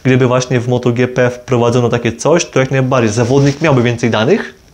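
A man's voice: mid-range voice, fairly even intonation, fairly quick, darkish tone, slight background noise. A man giving an informal explainer to camera, rephrasing and correcting himself as he goes. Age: 20s. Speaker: motorsport video creator. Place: small plain room indoors. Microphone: lavalier clipped on jacket.

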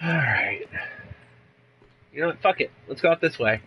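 A man's voice: on the high side, expressive, normal speed, sharp tone, slightly rough. A young man with a congested voice from a cold, chatting casually and rambling through a let's play from a room at home. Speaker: gaming YouTuber.

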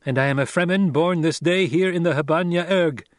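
A man's voice: fairly high pitch, brisk, dry recording, studio quality, voice pushed up, close to an everyday voice. A man reading as an earnest young desert rider. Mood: determined. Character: young male heir turned desert rider, proud and earnest. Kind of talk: audiobook character reading.

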